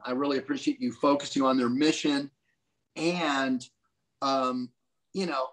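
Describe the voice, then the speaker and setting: A man's voice: fairly high pitch, a bit bright, rough recording. An older man on a video call from a room at home, talking through ideas in a thoughtful, musing way with many restarts. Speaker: co-host discussing Bible prophecy. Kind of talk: casual video call discussion. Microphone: video call webcam.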